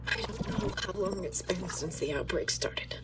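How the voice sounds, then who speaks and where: A woman's voice: fairly low pitch, moderate pace, far from the mic, noisy background, close to an everyday voice. An actress playing a haunted female scientist who cannot sleep, speaking in a shaken, breathless way.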